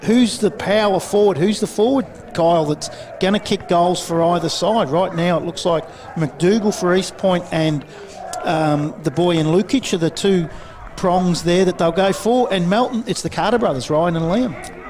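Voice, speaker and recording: An Australian man leads the football commentary at an outdoor ground, brisk and fluent as he rattles off teams and scores. His voice sits fairly high, and a crowd is clearly heard behind him. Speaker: lead commentator. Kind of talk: sports commentary.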